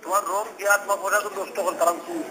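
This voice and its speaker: A man's voice: high-pitched, level tone, very fast, bright, forceful tone. A man with a Sylheti accent on an informal phone call, speaking in an exasperated, repetitive way. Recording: phone call with background noise.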